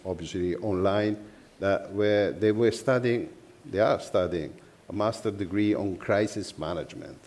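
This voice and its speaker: A man's voice: lowish voice, a little mellow. A man with an Italian accent speaking earnestly as a panelist in a forum discussion. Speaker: panelist, university network representative.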